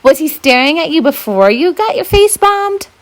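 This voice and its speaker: A woman's voice: high voice, very animated, normal speed, pitched above the performer's own voice. A woman voicing an impulsive, curious sixth-grade boy with a bitter edge and a blurting, questioning manner, in a children's novel. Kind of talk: children's novel read-aloud.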